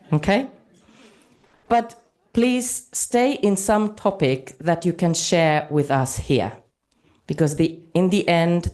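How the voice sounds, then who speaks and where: Woman conference moderator, deliberate pace, loud. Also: fairly low pitch. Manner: loud, addresses audience directly. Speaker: congress moderator. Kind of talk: live plenary moderation. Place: large conference hall.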